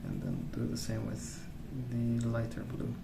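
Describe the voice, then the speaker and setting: A man speaking softly in a rambling ASMR style, hesitant and thinking aloud as he goes. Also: mid-range voice, very animated, measured pace, creaky. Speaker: ASMR video creator. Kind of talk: soft-spoken ASMR rambling while colouring. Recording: roomy, distant mic, noisy background.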